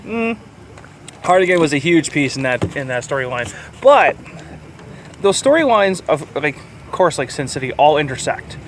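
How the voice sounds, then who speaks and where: A man's voice: big pitch swings, normal speed, pauses often. A man co-hosting a podcast, casually reviewing a movie in a crude, joking, profane way. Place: outdoors or in a car near a highway. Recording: outdoor traffic noise from nearby highway. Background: highway traffic.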